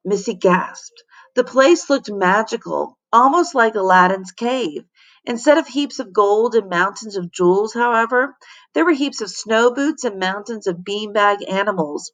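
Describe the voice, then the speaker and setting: A woman reads a storybook aloud in a teacher's expressive manner. Her pitch sits in an average range for a woman. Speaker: teacher reading to students.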